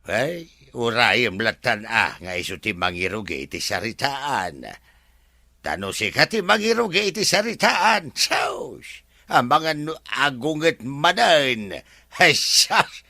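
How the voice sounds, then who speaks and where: Bright, animated grumbling manservant. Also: male voice, medium pitch, takes it slowly, vocal fry, dry recording, clean studio sound, heavily acted. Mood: gossipy. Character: gossipy, grumbling male servant in a mansion. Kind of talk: Ilocano radio drama acting.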